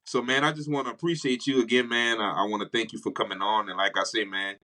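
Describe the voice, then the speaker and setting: A man's voice: lowish voice, steady tone, fast. A man hosting a podcast, speaking emphatically and saying "man" a lot as he wraps up with his guest. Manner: warm-hearted, emphatic, frequent "man". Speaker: podcast host. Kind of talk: casual interview talk.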